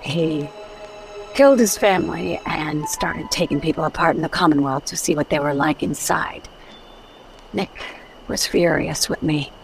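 Lowish female voice, blunt weary radio-play heroine, no-nonsense, conversational, faint music underneath. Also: normal speed, vocal fry, rough recording. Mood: grim recollection. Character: no-nonsense, world-weary female adventurer in detective mystery. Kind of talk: old-time radio drama acting.

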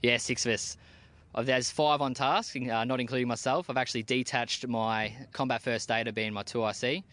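A young Australian man, an army team leader, answering interview questions outdoors in a plain-spoken, relaxed way with casual slang. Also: medium pitch, moderate pace. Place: outdoors at a military base.

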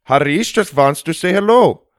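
A man acts out a proud Indian elephant rider for a children's audiobook. The voice sits higher and moves about in lively swings. He is theatrical and emphatic, cheerful and quick to scold. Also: gravelly, no echo, clean studio sound, heavily acted. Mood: friendly, puzzled.

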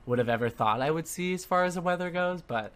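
A man's voice: on the high side, lively intonation, quick pace, a bit bright, clear, resonant tone. Hesitant young man hosting. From Southern California. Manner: hesitant, repeats words, friendly. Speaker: podcast host. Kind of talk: casual interview.

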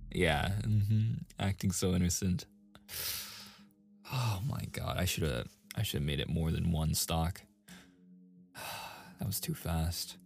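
A man's voice: low-pitched, gravelly, a little mellow, breathy edge, exaggerated voice. A man playing a playful, easily flustered young gamer boyfriend in a casual, intimate ASMR roleplay. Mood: shocked, exasperated. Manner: casual, intimate, with chuckles and sighs.

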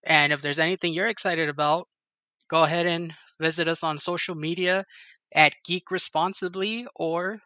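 A man's voice: a little high, steady tone, normal speed, bright, forceful tone, dry recording. A man hosting a show in an enthusiastic, informal way, speaking casually like someone sharing news with fans. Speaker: show host. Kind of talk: casual gaming news recap and interview.

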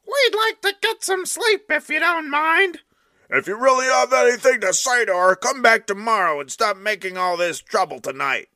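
A man voicing a group of angry neighbors shouting down at a troublemaker in a storybook reading. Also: very high voice, moderate pace, no room sound, clean studio sound, voice pushed up, exaggerated voice. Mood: indignant. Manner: indignant, shouting down. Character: angry crowd of roused neighbors.

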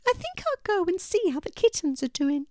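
Lady doing a teeny scared boy mouse for a kids story, super high n squeaky, all trembly. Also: big pitch swings, normal speed, mellow, no echo, clean studio sound. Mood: shy, hopeful. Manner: trembling, exclamatory. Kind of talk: children's storybook character voice.

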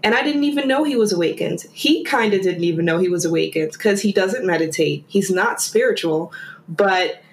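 A woman's voice: fairly low pitch, moderate pace, echoey room. A woman from Germany, a guest in a casual conversation, who talks in a chatty, rambling way full of fillers.

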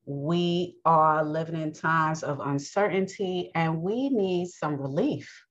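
A woman with a New York accent, host of her own podcast, giving an earnest, unhurried spoken sermon with many pauses. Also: low voice, dull audio.

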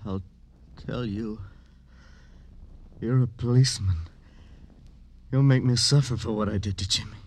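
An actor playing a guilt-ridden, broken man in an old radio crime drama, fragile and halting. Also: lowish voice. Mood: anguished. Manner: halting, anguished. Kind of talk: 1950s radio drama acting.

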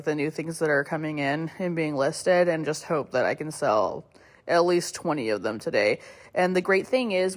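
A young woman hosting a casual podcast, a little hoarse from a cold, chatting loosely with lots of fillers and small laughs. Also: on the low side. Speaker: podcast host. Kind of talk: casual solo podcast chat.

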